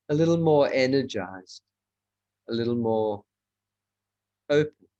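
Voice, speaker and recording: A man leading a guided meditation as a teacher, giving measured instructions in short, spaced phrases. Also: mid-range voice, a little mellow, lo-fi sound.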